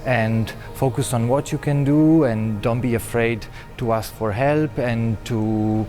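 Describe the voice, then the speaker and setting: A man from Italy, a doctoral researcher, giving earnest recorded interview answers with some halting phrasing. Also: mid-range voice, warm tone, faint music behind. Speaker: PhD researcher.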